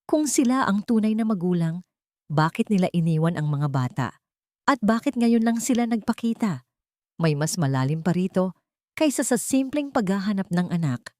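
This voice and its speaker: A woman's voice: mid-range voice, expressive, moderate pace, a little mellow, no echo, studio quality, lightly acted. A woman voicing an astute, serious female lawyer in a narrated drama story. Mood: serious, probing.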